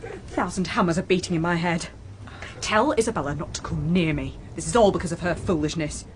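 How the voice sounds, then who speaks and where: An actress playing a proud, volatile young wife in a gothic period radio drama, imperious, taunting and impassioned. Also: female voice, on the low side, fairly quick, a little hiss or hum, close to an everyday voice. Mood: agitated, scheming.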